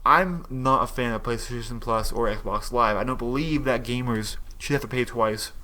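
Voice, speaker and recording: A man's voice: medium pitch, moderate pace, sharp tone. A young man giving casual, opinionated gaming commentary, rambling and restarting his sentences as he thinks aloud.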